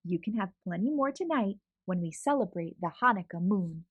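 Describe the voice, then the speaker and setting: A woman voicing a gentle, kind aunt who calmly explains things in a storybook. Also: medium pitch, moderate pace, husky, dry recording, muffled recording. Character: calm kind adult aunt.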